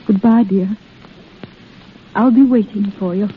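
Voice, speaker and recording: An actress playing a tender, anxious young wartime nurse in love, in a 1930s radio drama. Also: female voice, medium pitch, steady tone, a little slow, lightly acted. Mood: tender, worried. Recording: tinny, crackly old radio recording.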